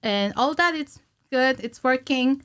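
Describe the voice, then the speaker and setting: A woman's voice: sits high, unhurried, clean tone. A woman in her thirties explaining things casually as a podcast guest, with a giggly manner. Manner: giggly, animated hand gestures. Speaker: podcast guest, cinematic animator. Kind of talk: casual explanatory interview chat. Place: small indoor podcast studio with curtains. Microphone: studio mic on stand, headphones.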